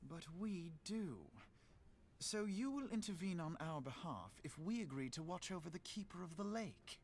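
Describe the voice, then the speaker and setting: An actor playing an earnest, idealistic young diplomat in a fantasy game, speaking formally and eloquently. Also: male voice, high voice, animated, normal speed, slight background noise. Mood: earnest, resolved. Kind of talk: scripted fantasy game dialogue.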